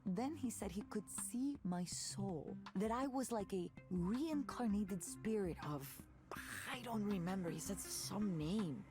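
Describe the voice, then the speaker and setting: An actress playing a candid, wry woman musician in a visual novel, with a European accent and a conversational, halting delivery. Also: mid-range voice, lively intonation, natural voice. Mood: storytelling. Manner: conversational, hesitant pauses, wry. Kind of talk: naturalistic visual novel voice acting. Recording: music behind the voice. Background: game music.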